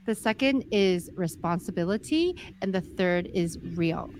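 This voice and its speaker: A soft-spoken woman in her forties, a guest explaining her views in a measured, easygoing interview. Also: on the low side, expressive, a little hiss or hum. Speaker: podcast guest, entrepreneur. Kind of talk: casual interview conversation.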